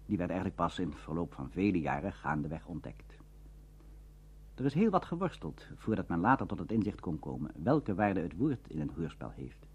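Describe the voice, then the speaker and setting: A man narrates a documentary a formal script. His low voice moves expressively up and down, on a dull archive radio recording. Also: big pitch swings, moderate pace, slightly rough. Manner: formal, carefully worded.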